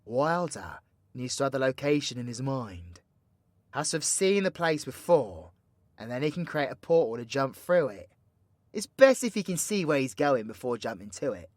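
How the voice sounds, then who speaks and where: Man acting a cocky, animated British supervillain. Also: mid-range voice, normal speed, no room sound, clean studio sound, lightly acted. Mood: cheeky, instructive. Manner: sweary, mocking, theatrical, slangy. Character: cocky, foul-mouthed male supervillain plotting mayhem, teasingly mentoring ally. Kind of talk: villain roleplay audio drama, intimate monologue to listener.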